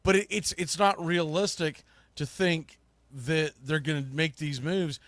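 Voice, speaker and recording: Bright, forceful male sports talk host. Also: fairly high pitch, fairly even intonation, measured pace, no echo. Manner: emphatic, colloquial, conversational. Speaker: sports podcast host and play-by-play broadcaster. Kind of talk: live sports talk, opinionated commentary.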